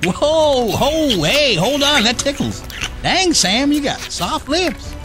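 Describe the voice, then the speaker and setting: Very high-pitched, goofy redneck. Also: male voice, big pitch swings, slow, pushed and bright, faint music behind, exaggerated voice. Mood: cocky taunting. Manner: folksy, wisecracking. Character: cocky, goofy male redneck gun-lover. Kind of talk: broad radio comedy acting.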